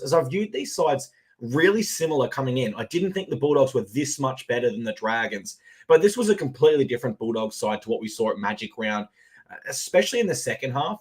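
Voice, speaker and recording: A man with an Australian accent hosting a live sports betting podcast, his voice a little husky, recapping games with energy. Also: medium pitch. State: slightly husky voice. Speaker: podcast host.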